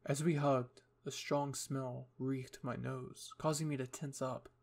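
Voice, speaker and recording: A man's voice: medium pitch, level tone, unhurried, gravelly, a little mellow. A man reading a scripted story in the first person as its narrator. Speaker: fan fiction reader.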